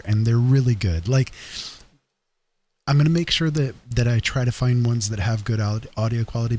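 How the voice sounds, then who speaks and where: A man's voice: fairly low pitch, a little mellow, slight background noise. A man hosting a casual late-night livestream, rambling informally and a little sweary. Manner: rambling, informal, mildly sweary, self-amused. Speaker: live stream host.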